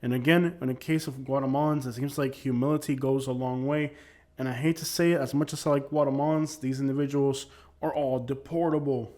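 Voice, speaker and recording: A man's voice: medium pitch, fairly even intonation, brisk, dark tone. A man hosting an opinion channel, delivering blunt, emphatic commentary that keeps repeating its points. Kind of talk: opinionated commentary on arrest records.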